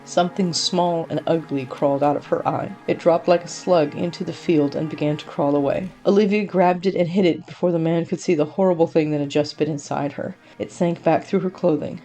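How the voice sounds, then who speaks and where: Female storyteller, lowish pitch, mellow tone, expressive scripted narration, faint music behind. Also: fairly quick, few pauses.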